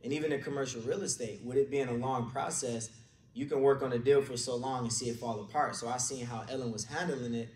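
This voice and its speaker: A man's voice: medium pitch, steady tone, fast, a little mellow. A man from Detroit with a Detroit American accent, telling his story colloquially in an informal motivational talk to an audience. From Detroit, USA. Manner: colloquial, anecdotal. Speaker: conference speaker, real estate agent. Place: indoor conference room, speaking on a stage. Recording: echoey room, distant mic, background noise.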